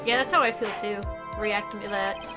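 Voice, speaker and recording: A woman's voice: medium pitch, steady tone, normal speed. A young woman streaming a game, chatting casually and joking about herself to her viewers. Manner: chatty, joking, self-deprecating. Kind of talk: casual gaming stream chat. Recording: game music playing loudly under voice.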